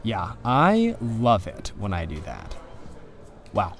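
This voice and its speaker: A man's voice: on the low side, huge pitch swings, slow pace, gravelly, exaggerated voice. An actor playing a friendly, easygoing male restaurant server in a naturalistic audio drama. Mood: friendly, amused.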